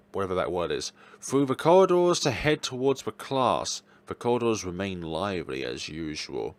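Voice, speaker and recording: A man reading a visual novel story aloud in an expressive, dramatic way, as a let's player. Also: lowish voice. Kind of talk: expressive read-aloud narration of game text. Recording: music playing behind the voice. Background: game background music.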